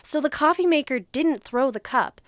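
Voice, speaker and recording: Audio drama investigator female actor: businesslike, commanding, fairly high voice, dry sound. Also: lively intonation, a bit bright, dry recording. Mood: probing. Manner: brisk, questioning, in command.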